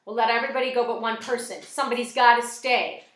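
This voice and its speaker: A woman's voice: a little high, steady tone, quick pace, a bit bright, close to an everyday voice. A woman voicing a desperate, bossy young man leading a robbery in a story, with a strained, commanding manner. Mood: desperate yelling. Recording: roomy, echoing space.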